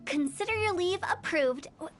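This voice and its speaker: A woman's voice: very high-pitched, lively intonation, normal speed. A woman voicing a mischievous, morbidly playful young funeral director in a video game, teasing and theatrical. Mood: breezy. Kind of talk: video game character acting.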